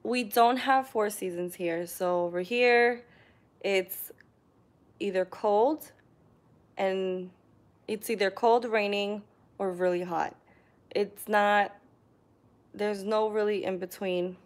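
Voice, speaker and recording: A young woman from New York chats unhurriedly to her viewers from her living room, filling pauses with ums. She is recorded by a camera on a tripod, and her pitch sits in a middle range. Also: fairly even intonation. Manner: chatty, hesitant, frequent ums and laughs. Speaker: vlogger reading live chat comments. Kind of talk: casual live stream chat.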